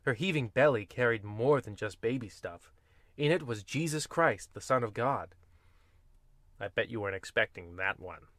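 A man's voice: medium pitch, expressive, moderate pace, a bit bright, no echo. A man narrating in the style of an old-time horror radio host, played for comedy, with rambling, folksy and wry asides. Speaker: host and storyteller of a radio anthology.

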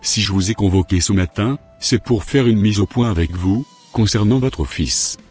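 A man voicing a formal, unbending school director in a cartoon, speaking in measured, broken-up phrases. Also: lowish voice, darkish tone, husky, faint music behind. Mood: formal, firm. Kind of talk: scripted cartoon dialogue.

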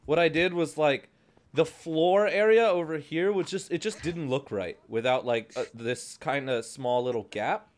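A young man chatting casually while playing a video game with friends, jokey and quick to exclaim. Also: fairly high pitch. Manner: jokey, excitable, prone to exclaiming. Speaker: gaming video player. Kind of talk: casual group chat while gaming.

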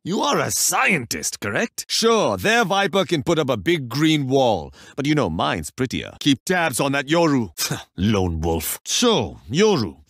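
Playful cocky video game warrior, indian accent, lots of swing in the voice, super dry recording. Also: male voice, fairly high pitch, dry recording, heavily acted. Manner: teasing, cocky, theatrical delivery. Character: confident, playful male warrior agent wielding water artifact. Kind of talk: video game character voice lines.

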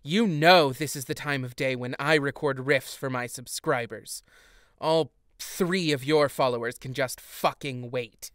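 A woman's voice: very deep voice, measured pace, no room sound, clean studio sound. An actress playing an exasperated fairy queen with a sarcastic, cutting manner in a modern audio drama. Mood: scornful. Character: exasperated, sardonic fairy queen, sharp-tongued bickering wife.

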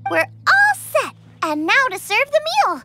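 Anime game role: chirpy, confident young girl cook, extremely high and animated. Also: a little slow, over music, heavily acted. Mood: confident, encouraging. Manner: chirpy, enthusiastic. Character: bubbly, confident teenage girl chef. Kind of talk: anime video game voice acting.

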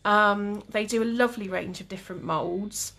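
A young woman with a calm, relaxed voice, demonstrating cake painting live and chatting with viewers. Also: mid-range voice, normal speed, a little hiss or hum. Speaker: cake decorating tutor.

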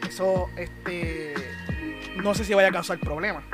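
High-voiced Puerto Rican man over loud music. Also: steady tone, a little slow. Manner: slangy, mixes in English words. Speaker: podcast host. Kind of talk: casual podcast chat. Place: small room at home, indoors. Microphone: close microphone on a boom arm.

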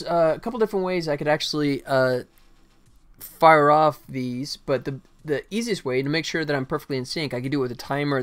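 An American man in his forties chatting casually and haltingly as he livestreams game development, thinking aloud. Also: medium pitch, clear, resonant tone. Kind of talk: casual chat while working.

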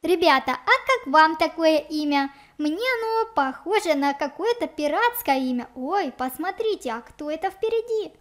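A woman with a very high voice co-hosts a game playthrough for children. She is chatty and exclamatory, and she addresses the young viewers directly. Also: expressive, normal speed, few pauses. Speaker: co-host of a children's let's play.

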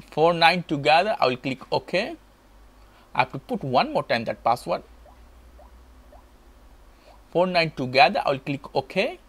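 Guy doing a step by step tech how-to, kinda high voice, really up and down, non-native english phrasing. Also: a bit bright, slightly rough. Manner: simple short instructional phrases. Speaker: tutorial host. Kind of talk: step-by-step tech tutorial narration.

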